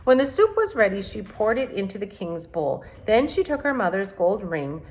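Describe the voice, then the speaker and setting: A woman's voice: medium pitch, sing-song, normal speed, darkish tone. A woman reading a fairy tale aloud expressively, as a teacher would to children. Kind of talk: expressive storybook read-aloud.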